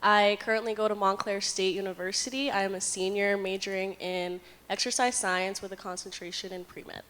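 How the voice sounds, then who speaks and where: A woman's voice: mid-range voice, level tone, a little fast, a bit bright. Young woman in a reverberant room. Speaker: panel participant, university student. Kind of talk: casual roundtable talk.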